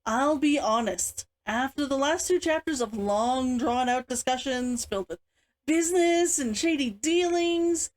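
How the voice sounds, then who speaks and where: A woman reading her own book aloud as an author, in an expressive audiobook style. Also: high voice, a little slow.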